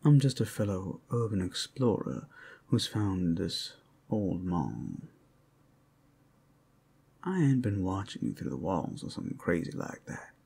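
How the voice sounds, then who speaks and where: Teasing werewolf drifter acted by a man for ASMR roleplay. Folksy, coaxing, gravelly and deep, very animated intonation. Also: measured pace, pauses often, heavily acted. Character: casual, teasing male werewolf urban explorer.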